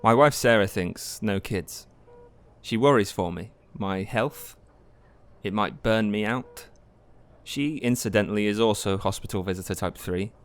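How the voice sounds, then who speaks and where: A man acting a wry married schoolteacher in an audio play. He talks in a confiding, conversational way and gently mocks himself, sounding like someone sharing his private life with a listener. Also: on the low side, a little slow, clear, resonant tone, natural voice. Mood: reflective, earnest. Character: wry, ailing married male English teacher, self-deprecating. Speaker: actor narrating an audio play. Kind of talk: audio drama monologue and dialogue.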